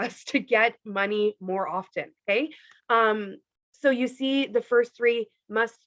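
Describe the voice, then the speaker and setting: A woman from Canada walking her team through a pay plan on a video call, chatty and full of "like" and "okay", her voice going hoarse. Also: fairly high pitch, dry recording, muffled recording. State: losing her voice. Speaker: team leader presenting a compensation plan. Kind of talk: casual presentation on a video call.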